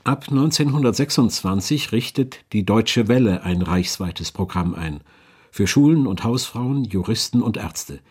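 A man's voice: lowish voice, expressive, a little slow, darkish tone, breathy edge. A man reading scripted historical narration as a documentary narrator.

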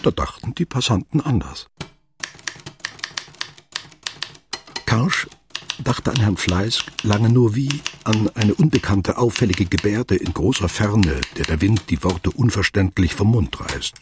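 An actor playing a sober, detached narrator, reading scripted literary prose in a radio play. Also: male voice, lively intonation, a little slow, background noise. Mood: dry, observant. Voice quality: very husky, low.

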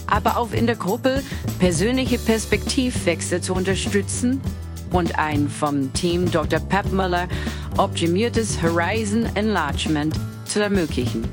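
A woman playing a polished clinic advertising narrator, reading formal promotional copy in a composed way. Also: on the low side.

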